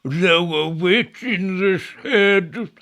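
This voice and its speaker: An actor playing a scurrilous, sneering Greek camp fool in a Shakespearean audio drama, with theatrical, exaggerated mockery. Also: male voice, high voice, drawn-out words, bright, forceful tone, exaggerated voice. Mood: gleeful mockery.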